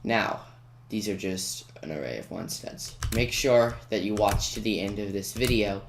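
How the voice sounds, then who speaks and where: A man's voice: lowish voice, moderate pace, vocal fry. A man giving casual tutorial commentary on a video game, hedging and correcting himself as he goes. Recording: roomy echo, faint noise with key clicks. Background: computer keyboard typing and clicks.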